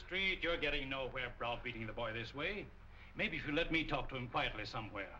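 Older gentleman, formal, indignant, mid-pitched, old film drama, faint hiss. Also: lively intonation, fairly quick. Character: stern, protesting older gentleman, protective of suspect. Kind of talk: 1940s film acting. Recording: muffled, hissy old film soundtrack.

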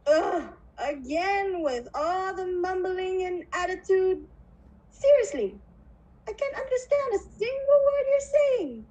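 Puppet show role: eccentric chocolate factory boss played by a woman, very high, chirpy, widely swooping voice. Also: slow, highs cut off, full character voice. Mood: bossy, excited. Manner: theatrical, animated.

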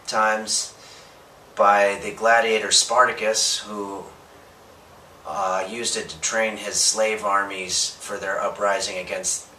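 A young man delivers workout instructions in a bright, clear voice with a straight, earnest face, stumbling and restarting mid-sentence. He is recorded from across a small bedroom on the camera mic, with a roomy echo. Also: on the low side, a little hiss or hum. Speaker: host of a home workout parody. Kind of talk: deadpan fitness instruction. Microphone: camera mic from across the room. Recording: echoey room, voice a bit far from mic.

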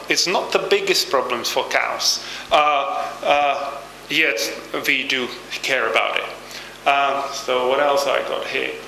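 A man in his thirties with a Czech accent gives an informal talk as a university lecturer, halting with many fillers and restarts, on a handheld mic in a small hall. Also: medium pitch, bright, forceful tone, slight background noise. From Czech Republic. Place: small brick-walled hall with projector screen. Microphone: handheld microphone. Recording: roomy hall echo.

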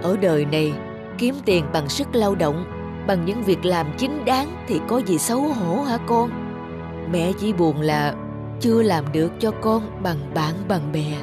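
A woman's voice: fairly low pitch, lively intonation, a little slow, over music. A woman playing a patient, self-sacrificing middle-aged village mother in a cartoon. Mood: tender, consoling. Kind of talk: cartoon voice acting.

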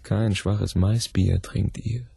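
A gravelly, very husky persuader. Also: male voice, on the low side, fairly even intonation, slow pace, warm tone, no room sound, exaggerated voice. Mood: coaxing. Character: persuasive, insistent recruiter plying a villager with drink. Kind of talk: radio drama acting.

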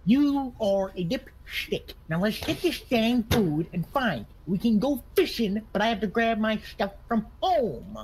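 A man's voice: sits high, sing-song, unhurried, few pauses, a little hiss or hum. A man playing a bumbling, sneering country sheriff who stammers and repeats his words in a comedy game roleplay. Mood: sneering, smug.

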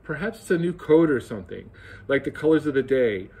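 Older man voicing a crafty general in a book reading: slightly raised, emphatic, husky. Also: mid-range voice, lively intonation, brisk, a little mellow. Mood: hopeful. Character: shrewd, smug male army general.

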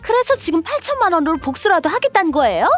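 A woman's voice: extremely high pitch, sing-song, quick pace, soft background music. A woman playing a brazen, money-grubbing younger sister-in-law, whiny and defiant, in a scripted audio drama. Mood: indignant.

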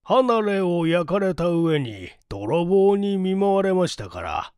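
A middle-aged gentleman as a man acts him explains himself with troubled courtesy in a mystery audiobook. The voice is fairly high and resonant, the delivery slow and formal, in a dry close recording. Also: big pitch swings, clear, resonant tone, no room sound, exaggerated voice. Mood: earnest, troubled.

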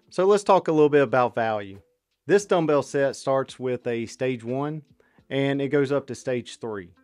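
A man in his thirties hosting a home fitness channel, reading a product review in a steady, matter-of-fact way. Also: mid-range voice, dry recording. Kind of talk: scripted product review voice-over.

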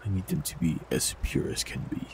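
Deep gravelly man, calm roleplay. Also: a little fast, background noise. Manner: calm, gentle, softly teasing. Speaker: audio roleplay voice actor. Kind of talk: scripted fantasy roleplay, warlock character.

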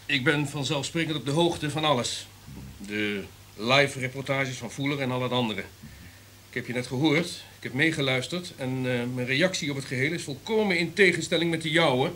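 An actor playing an imperious, irritable top executive in a radio drama, lecturing in a domineering, emphatic way. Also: male voice, mid-range voice, moderate pace, sharp tone, slight background noise, close to an everyday voice. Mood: angry, stern.

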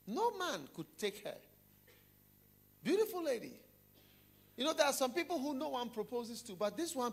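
A middle-aged man preaching a sermon to a hall audience on a handheld microphone, emphatic and repetitive, dropping to a hush then rising loud. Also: high-pitched, a little hiss or hum. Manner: emphatic, repeats phrases, swings between hushed and loud.

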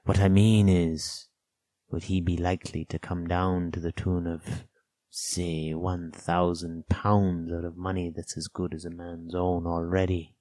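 Guy doing a crazy old marooned seaman for an audiobook, sly n excitable, rustic talk, deep, drags his words out. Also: dry recording. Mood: wheedling. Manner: rustic sailor's dialect, drawn-out words, sudden bursts. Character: half-crazed marooned old sailor, sly, childish, excitable.

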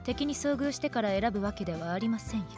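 A woman's voice: medium pitch, lively intonation, fast, over music, lightly acted. A woman playing a strict, composed magic-academy teacher with coolly menacing politeness and precise scolding, in an anime-style radio drama. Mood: strict, instructive.

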